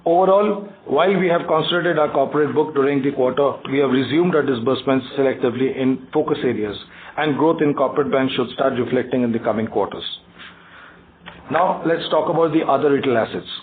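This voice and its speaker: A man with an Indian accent reads a prepared financial briefing as a bank executive, haltingly, stumbling over and repeating words. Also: mid-range voice. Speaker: bank executive on an earnings call. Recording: tinny phone conference line, faint noise.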